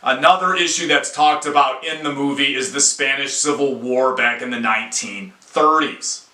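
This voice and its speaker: Casting: American male commentator, hard bright tone, deliberate and opinionated delivery, recorded in a reverberant room. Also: medium pitch. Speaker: independent news commentator, video host. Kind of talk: opinion commentary to camera, outlined talk. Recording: echoey room.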